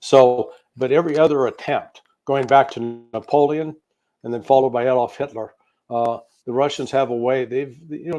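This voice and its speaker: A man's voice: medium pitch, mellow. Emphatic American man giving political analysis. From United States. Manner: emphatic, piling up lists, opinionated. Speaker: political commentator guest. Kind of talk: spoken political analysis in an interview.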